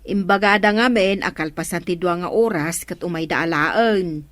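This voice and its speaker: A woman's voice: fairly low pitch, normal speed, a bit bright, no echo, close to an everyday voice. A woman with an Ilocano accent playing an exasperated, plain-spoken village staffer who scolds and complains in a radio comedy drama.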